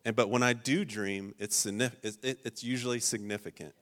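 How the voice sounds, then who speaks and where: A man preaching a sermon, earnest and emphatic, with loud stressed points and quiet personal asides. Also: medium pitch, fairly even intonation, fairly quick. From rural Ohio. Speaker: pastor.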